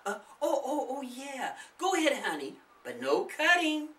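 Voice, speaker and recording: A man's voice: very high-pitched, wildly swooping pitch, a little slow, sharp tone, reverberant, far from the mic, voice pushed up, full character voice. A man voicing a fond but firm mother of young children in a storybook reading. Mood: caring.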